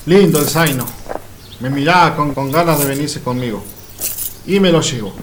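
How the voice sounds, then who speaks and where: Storybook radio drama: sly country hustler, male actor, slightly high-pitched, patient and deliberate. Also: a little hiss or hum, lightly acted. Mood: content. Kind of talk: Spanish-language storybook radio play.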